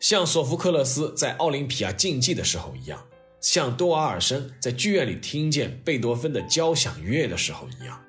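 Man narrating with very animated pitch over music. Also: a little fast. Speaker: host of a history storytelling podcast. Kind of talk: scripted narration with piano-backed storytelling and personal reflection. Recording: music playing behind the voice. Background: soft piano and orchestral music.

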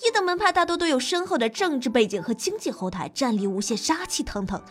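A woman's voice: high-pitched, very animated, fast talker. A woman host reading scripted gaming narration in a playful, dramatic storytelling manner. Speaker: game show host and streamer. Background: background music.

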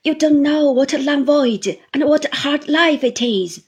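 A woman doing a quiet old fisherman. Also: unhurried. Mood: gentle. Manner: low, quiet, homely dialect speech.

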